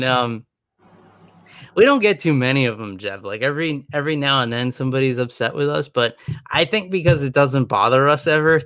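A man's voice: mid-range voice, a bit bright, dry recording, studio quality. A man co-hosting a podcast in easygoing, rambling chat, laughing often. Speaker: podcast co-host.

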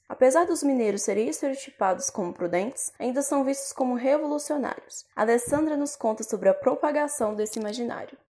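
A woman from Minas Gerais, speaking with its accent, reading scripted documentary narration in a drawn-out way with clipped word endings. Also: fairly high pitch, dark tone. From Minas Gerais, Brazil. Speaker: reporter narrator.

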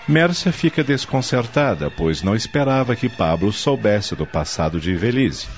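Deep-voiced male radio drama narrator. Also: big pitch swings, moderate pace. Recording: muffled audio with music behind.

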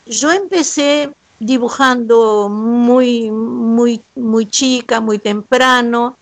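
An older woman from Brazil, a native Portuguese speaker talking in Spanish with a Brazilian accent and a Rioplatense lilt, giving a measured, polite account of her life over a video call. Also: on the high side, dry recording. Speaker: guest artist. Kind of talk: slow, careful personal account. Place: her home, remote link.

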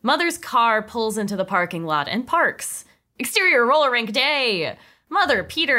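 A woman's voice: on the high side, pushed and bright. A woman reading stage directions as narrator of a comedy table read.

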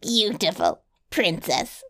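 A woman's voice: medium pitch, very animated, slow pace, a bit bright. A woman voicing a small, malicious male dwarf in a fairy tale, boastful and sly, with a taunting, mock-courtly drawl. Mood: flattering, gloating. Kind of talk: fairy tale storybook reading.

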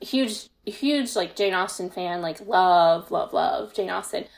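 A woman's voice: on the low side, takes it slowly, creaky. A woman talks as a guest on a podcast. She tells stories in a casual, conversational way, chatty and self-deprecating, often slipping into quoted exchanges. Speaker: podcast guest, fan fiction author.

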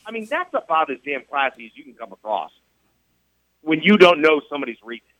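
A man's voice: a little high, very animated, brisk, a bit bright, no echo. A man hosting sports radio talk, emphatic and repetitive, firing off rhetorical questions. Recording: dull radio studio sound, dry.

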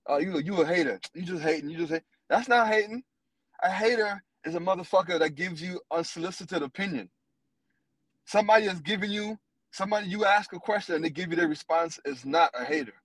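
A man in his thirties talks in a casual podcast chat, blunt and animated, with plenty of cursing. His voice sits on the higher side for a man. The sound is dry and close to the mic. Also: a little fast, husky, dry recording, rough recording. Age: 30s. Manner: animated, blunt, profane storytelling. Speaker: podcast co-host.

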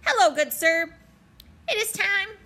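A woman's voice: very high-pitched, lively intonation, unhurried, pushed and bright, voice pushed up, heavily acted. A woman voicing a chipper male salesman with an English accent, reading a storybook aloud. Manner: chipper, polite.

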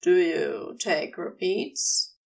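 A bleary, wry husband in a storybook reading, played by a woman. The voice drops low and drags, halting and creaky. It sits slightly away from the microphone. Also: distant mic, heavily acted. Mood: awkward, wry. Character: bleary, wry married man, hesitant and slow.

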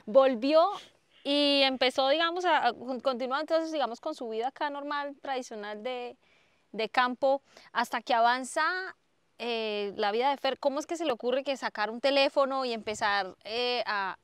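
A woman's voice: high voice, normal speed, clean tone, no room sound. A woman interviewer who reflects aloud in a thoughtful way before asking her questions.

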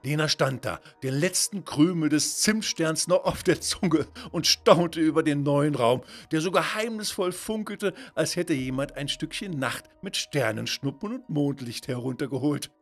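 A man's voice: fairly high pitch, measured pace, few pauses. Male children's storyteller over music. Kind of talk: scripted children's story narration.

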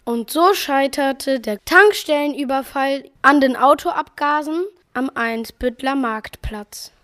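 A woman's voice: high-pitched, takes it slowly, a bit bright, dry recording, studio quality. A young schoolgirl playing an onlooker and narrator in a school radio play. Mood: storytelling. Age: child or preteen.

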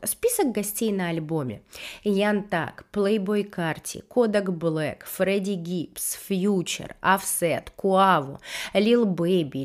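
Woman, nasal with a cold, lively swooping pitch, casual slangy chat, music news host. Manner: animated, slangy, swears freely.

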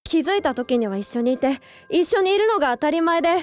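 A woman's voice: high voice, animated, fast talker. An actress in a radio drama playing an earnest young woman who hides her love for a childhood friend. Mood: hurt, pent-up. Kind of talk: Japanese radio drama acting.

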